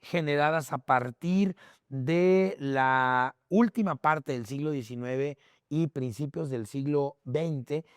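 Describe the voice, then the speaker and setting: A man's voice: fairly high pitch, slow, clean tone, no echo, clean studio sound. A man with a Mexican accent explaining things as a podcast guest in a conversational, didactic way, with short tag questions to check in. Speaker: guest expert on history.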